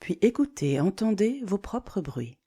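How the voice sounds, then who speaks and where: A woman leading a guided yoga nidra relaxation, giving slow, calm, soothing instructions with deliberate pauses. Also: medium pitch, breathy edge.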